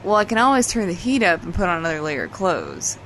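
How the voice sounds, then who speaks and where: A woman's voice: lowish voice, big pitch swings, fast, a bit bright, slight background noise. A woman playing an exasperated, dry-witted character in casual audio drama banter, sardonic and matter-of-fact.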